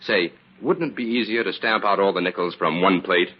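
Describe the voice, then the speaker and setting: An actor playing a confident, cool-headed investigator in an old-time radio crime drama. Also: male voice, low voice, brisk. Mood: affable, probing. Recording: dull, noisy old radio recording.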